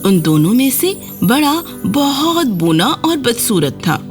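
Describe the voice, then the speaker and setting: A woman's voice: a little high, big pitch swings, faint music behind. A woman reading a scripted fairy tale for children as the storyteller.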